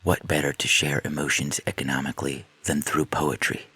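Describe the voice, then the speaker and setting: A man with a deep, husky voice reading a scripted science fiction story as narrator. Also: level tone, a little fast, sharp tone, no echo.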